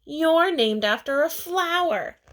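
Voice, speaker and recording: A woman's voice: high-pitched, animated, slow pace, a bit bright, pitched above the performer's own voice, exaggerated voice. A woman voicing a snooty, teasing schoolgirl from a children's picture book, haughty and emphatic. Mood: snide.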